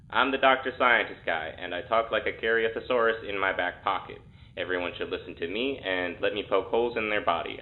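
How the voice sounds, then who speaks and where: Man as a deadpan sardonic guy over a tinny intercom. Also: fairly low pitch, lightly acted. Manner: deadpan, flippant, muttering. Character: sardonic, irreverent young male test subject. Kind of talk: audio drama acting. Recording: thin, phone-like intercom sound.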